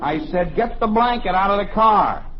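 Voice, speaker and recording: A man's voice: sits high, animated, normal speed, crowd noise, noisy background. A man playing a henpecked, eager husband and father in an old-time radio comedy, apologetic and prone to stammering. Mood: meek, placating. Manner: apologetic, stammering under his wife's scolding. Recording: muffled old radio recording.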